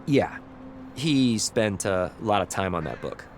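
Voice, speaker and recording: Animated, eager young engineer. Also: male voice, on the low side, unhurried, a little hiss or hum. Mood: reflective, subdued. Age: young adult. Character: eager, proud young male engineer and rocket inventor. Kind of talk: video game character dialogue.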